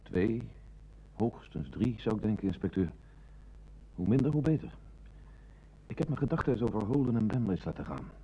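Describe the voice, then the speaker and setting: An actor playing a deferential, thoughtful police sergeant in a radio play. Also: male voice, fairly low pitch, expressive, normal speed, long pauses, breathy edge, highs cut off. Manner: deferential, considered. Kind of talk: Dutch radio play acting.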